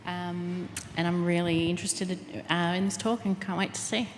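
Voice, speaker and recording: Low-pitched woman in an echoey hall. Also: fairly even intonation, normal speed. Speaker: event sponsor representative. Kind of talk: self-introduction at a panel event. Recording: echoey hall with background noise.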